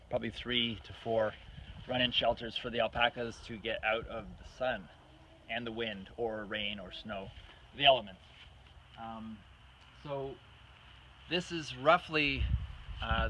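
Middle-aged man with a bright, forceful voice. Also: mid-range voice, rough recording. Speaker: farm owner appealing for donations. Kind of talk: informal explainer and fundraising appeal to camera. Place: outdoors on a farm beside a wooden barn. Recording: outdoor camera audio with faint noise.

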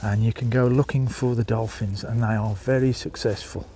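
A man's voice: medium pitch, fairly even intonation, moderate pace, warm tone. A man with a Birmingham accent narrating a walking tour outdoors, chatting to viewers and calling them folks as he films with a handheld phone. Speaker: vlogger. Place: outdoors, walking a small seaside town.

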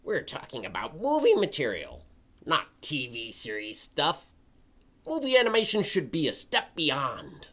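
A performer voicing an indignant, theatrical crow puppet in a dramatic reading, pompous and scornful with drawn-out emphasis. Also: medium pitch, huge pitch swings, fairly bright tone, heavily acted. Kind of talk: dramatic reading of an old forum post.

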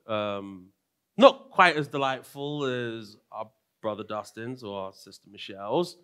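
A young man with a London English accent preaching a sermon from a pulpit, animated, moving between soft asides and raised, emphatic lines. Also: lowish voice, a little slow, clear, resonant tone. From London, England. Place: church stage with purple lighting.

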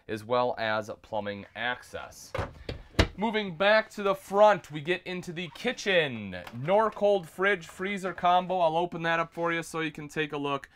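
A man's voice: a little high, a bit bright, smooth. Upbeat man giving a trailer tour. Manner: upbeat salesy, addresses viewers as folks. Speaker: RV product specialist. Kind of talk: product walkthrough tour. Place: inside a small travel trailer.

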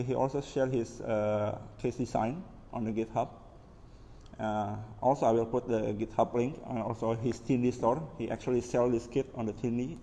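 A man's voice: medium pitch, mellow. Muffled-toned Indonesian man in an echoing room. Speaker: maker presenting his DIY electronics build. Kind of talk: casual unscripted hands-on overview. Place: indoors at a wooden table, hands-only camera view. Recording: reverberant room with background noise.

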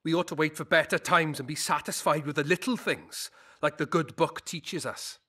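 A sly village trader for a fantasy game, with a fairly high, sharp and forceful male voice. He sounds folksy and shrewd, always haggling. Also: normal speed. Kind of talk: video game character acting.

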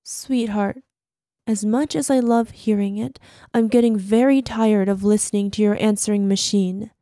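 A woman's voice: mid-range voice, steady tone, normal speed, dark tone, no room sound, lightly acted. A woman playing an anxious, clingy girlfriend with a possessive, menacing streak in an ASMR voicemail roleplay, using sugary endearments. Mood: frustrated, pleading. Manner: sugary pet names, hesitant pleading sliding into controlled threat.

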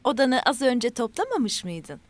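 A woman playing a patient, affectionate young mother in a children's cartoon, speaking in a gentle, encouraging, instructive way. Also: on the high side, big pitch swings, unhurried, dry recording.

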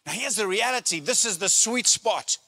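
A middle-aged man, a pastor preaching a sermon with emphatic, deliberate phrasing and pauses, speaking into a handheld microphone. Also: sits high, animated, pushed and bright. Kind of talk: sermon to a congregation. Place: church auditorium stage, indoors.

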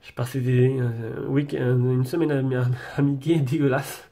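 A man's voice: mid-range voice, steady tone, unhurried, dark tone. A man with a French accent and a head cold talking through his nose, chatting casually and hesitantly as he reviews a phone at his desk. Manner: chatty, hesitant, many fillers and restarts. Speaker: tech reviewer. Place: desk setup with a branded backdrop, indoors.

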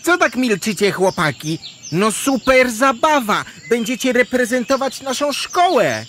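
A woman's voice: on the high side, very animated, measured pace, heavy background noise. A woman playing a playful, joking schoolboy in a children's audio drama. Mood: impatient, cheerful. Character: playful, joking schoolboy who loves food.

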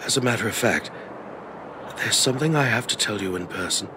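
An actor playing an elderly, loyal family butler in a video game, polite and formal, with a hesitant manner. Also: male voice, lowish voice, lively intonation, a little fast, background noise, close to an everyday voice. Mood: deferential, confiding. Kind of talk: scripted video game voice acting. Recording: studio voice over game music.

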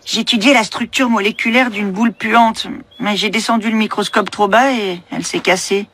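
A woman's voice: medium pitch, fairly even intonation, normal speed, a bit bright, husky. A woman playing a nerdy, defensive young boy scientist in a cartoon, hesitant and self-important. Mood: flustered. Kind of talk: French cartoon dub acting.

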